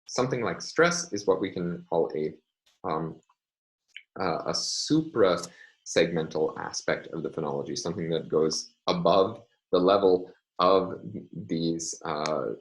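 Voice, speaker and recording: A man lecturing in a measured way, often pausing with ums and uhs as he explains. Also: low-pitched, low-quality recording. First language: English. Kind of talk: recorded online lecture.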